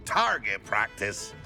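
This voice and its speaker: An actor playing a gruff, menacing pub keeper with mocking humour and a gravelly voice in a video game. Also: male voice, lowish voice, wildly swooping pitch, extremely slow, bright, forceful tone, soft background music, full character voice. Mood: gruff, amused. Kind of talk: video game character acting.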